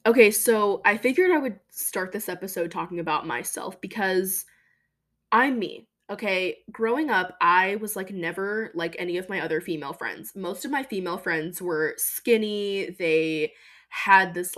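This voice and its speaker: A young woman hosting a podcast, talking casually and emphatically about her own life, with a voice she calls deeper than most women's. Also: a bit bright. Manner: chatty, emphatic, lots of fillers. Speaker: podcast host. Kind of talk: casual personal monologue.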